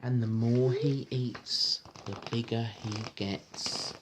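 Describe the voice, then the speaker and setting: A man reading a storybook aloud to a child in an informal, encouraging, gentle way, with chatty asides. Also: mid-range voice, fairly even intonation, takes it slowly. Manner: encouraging, gentle, echoes the child's words back. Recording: home recording with faint background noise.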